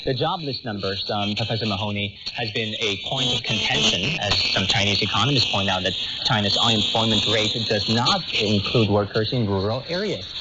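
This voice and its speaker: Male radio host buried in loud static. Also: lowish voice, a little fast, hardly any pauses. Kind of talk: current affairs interview moderation. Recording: muffled shortwave radio, heavy static. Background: loud shortwave static.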